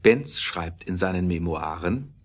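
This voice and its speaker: A man narrating a scripted audio play as a storyteller, measured and with dramatic emphasis. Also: deep voice, lively intonation.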